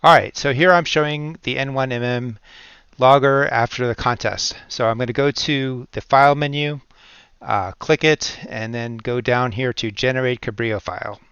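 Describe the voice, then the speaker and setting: An American man giving an informal step-by-step software tutorial, thinking aloud as he works through each step. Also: mid-range voice, steady tone, normal speed, clean tone, no room sound, muffled recording. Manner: thinks aloud while working through steps. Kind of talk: step-by-step screen-recording tutorial narration, informal.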